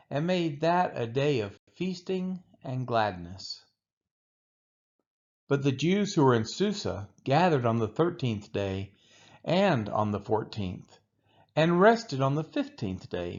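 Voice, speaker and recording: A man reads scripture aloud in a deliberate, phrase-by-phrase way. His pitch moves widely across each sentence while the pace stays on the slow side. The recording is a little dull and lo-fi. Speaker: scripture reader. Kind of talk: measured Bible read-aloud, scripted narration.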